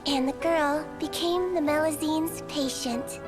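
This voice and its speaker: A woman voicing a small, gentle female Melusine doctor in a video game, telling a story softly. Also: sits high, fairly even intonation, unhurried. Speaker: game character. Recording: game audio with clear music behind.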